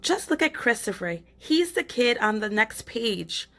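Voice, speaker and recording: A woman's voice: fairly high pitch, a little slow, soft background music, exaggerated voice. A woman reading as a chatty shrunken girl. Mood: storytelling. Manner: lively storybook read-aloud. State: losing her voice. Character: chatty young girl who has shrunk.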